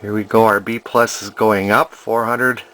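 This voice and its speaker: A man giving an informal, halting hands-on repair demonstration in an indoor workshop, explaining as he goes. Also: lowish voice, level tone, a bit bright. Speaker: amateur vintage TV restorer.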